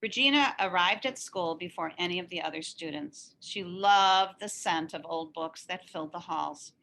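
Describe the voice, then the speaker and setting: A woman reading a children's story aloud in an expressive, animated narrating style. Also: fairly low pitch, normal speed, slight background noise, rough recording. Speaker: story reader.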